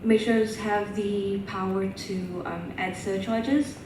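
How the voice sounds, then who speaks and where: A woman student lays out a detailed question step by step from the floor of a conference. Her voice sounds distant from the microphone. It rings through a big echoing hall over steady room noise. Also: medium pitch, takes it slowly. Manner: careful, detailed multi-part questioning. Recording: echoey hall, distant mic, noisy.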